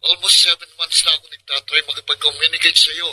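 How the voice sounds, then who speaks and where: An actor playing a heartbroken, anxious young Filipino boyfriend in a radio drama. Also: male voice, on the high side, flat delivery, fast talker, bright, forceful tone, slightly rough, exaggerated voice, low-quality recording. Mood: pleading, hurt.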